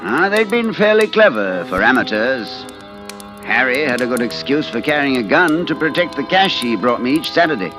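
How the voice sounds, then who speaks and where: Male actor for betrayed husband, old radio thriller: brooding, calculating, deliberate, very expressive range, music underneath. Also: medium pitch, close to an everyday voice. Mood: brooding, bitter. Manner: brooding, deliberate confessional delivery with dramatic pauses. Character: betrayed husband, stage performer, bitter, quietly calculating narrator. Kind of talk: old-time radio suspense acting. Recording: vintage radio broadcast recording with music.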